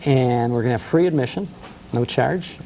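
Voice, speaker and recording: Gravelly radio host. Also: male voice, medium pitch, normal speed, a loud crowd, low-quality recording. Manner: enthusiastic, storytelling, frequent uh fillers. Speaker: radio host and museum co-founder. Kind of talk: casual interview chat.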